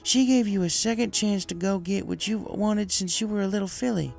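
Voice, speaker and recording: A man voicing a caring, steady young female farm pony, folksy, reassuring and firm, in a story reading. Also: sits high, brisk, gravelly, faint music behind, pitched above the performer's own voice.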